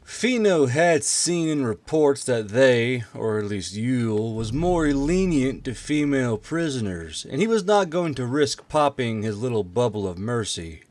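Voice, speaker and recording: A man's voice: mid-range voice, very animated, unhurried, few pauses, a little mellow, no room sound. A man reading a fantasy war story aloud as scripted narration. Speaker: narrator, audiobook reader.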